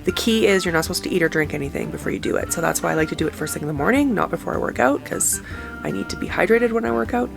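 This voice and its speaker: A woman's voice: fairly low pitch, lively intonation, very fast, vocal fry, over music. A woman in her thirties vlogging casually, nasal and stuffed up from a head cold. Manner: chatty, rambling explanations. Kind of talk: casual vlog talk.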